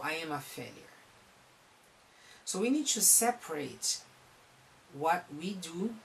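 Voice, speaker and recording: Slow-spoken Brazilian-accented man. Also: on the high side, slight background noise, poor audio. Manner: halting, earnest, often restarts phrases. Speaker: counselor. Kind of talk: recorded talk on psychology.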